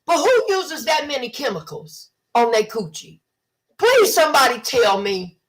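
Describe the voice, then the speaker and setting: A woman's voice: high-pitched, very animated, unhurried, low-quality recording. A woman, hosting a casual livestream and talking bluntly with mocking impressions. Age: 50s. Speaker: livestream host.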